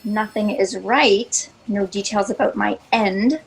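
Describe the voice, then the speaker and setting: A woman's voice: medium pitch, takes it slowly, vocal fry, long reverb tail, low-quality recording. A woman playing a bored, haughty, sardonic shapeshifting bird in a sci-fi satire audio drama. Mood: bored, sulky.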